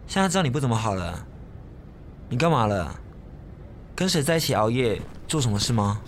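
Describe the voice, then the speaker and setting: A man acts a bitter young ex who presses his accusations with sarcasm. His Taiwanese Mandarin is medium in pitch and very animated, a radio drama performance over faint ambient noise. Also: brisk. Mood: sulky, suspicious.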